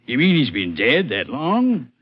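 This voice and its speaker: An actor playing a folksy, shifty farmer with a rural Texan drawl in a 1950s radio western, eager to sound helpful. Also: male voice, mid-range voice, wildly swooping pitch, unhurried, husky, exaggerated voice. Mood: defensive, uneasy. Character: folksy, shifty married farmer, slick-talking countryman. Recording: muffled, noisy 1950s radio recording.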